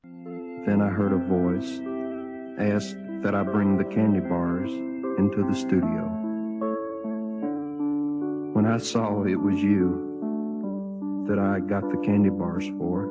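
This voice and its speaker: A low-voiced man delivers an earnest, humble spoken-word narrative at a slow pace. The dull, lo-fi record has prominent guitar music behind him. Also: level tone.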